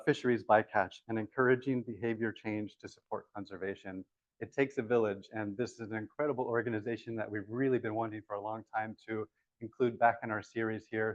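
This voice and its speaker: A man's voice: lowish voice, dry recording, muffled recording. A man hosting a lecture series who introduces a guest speaker in a friendly, self-deprecating way and stumbles over some words.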